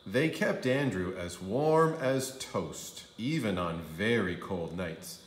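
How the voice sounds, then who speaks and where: A man reading a children's story aloud as a kindergarten teacher, with expressive, drawn-out emphasis on key phrases. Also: low voice, roomy sound. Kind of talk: animated storybook narration for young children.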